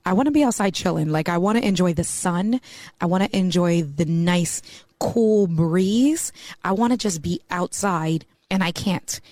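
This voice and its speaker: A woman's voice: fairly low pitch, normal speed, a little mellow, dry recording. A woman from the East Coast of the US, a mortgage banker talking as a podcast guest in a chatty way, full of "you know.". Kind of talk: casual podcast conversation.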